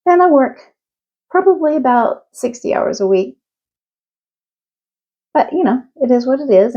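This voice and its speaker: A woman's voice: on the high side, mellow, highs cut off. A woman in her fifties with a Southern US accent, chatting frankly to camera and laughing between thoughts. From Southern United States. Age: 50s. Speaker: vlogger. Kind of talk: casual talk to camera.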